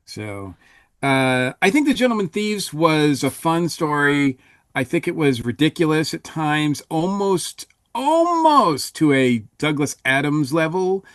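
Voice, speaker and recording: A man in a casual review discussion as a podcast panelist, talking haltingly with trailing restarts. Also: fairly high pitch, very animated, fairly bright tone, dry recording, studio quality.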